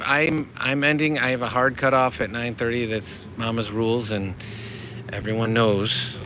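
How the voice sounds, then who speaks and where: A man's voice: medium pitch, pushed and bright, slight background noise. A middle-aged American man hosting a casual livestream, rambling in a friendly way and reading chat messages aloud. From United States. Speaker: livestream host.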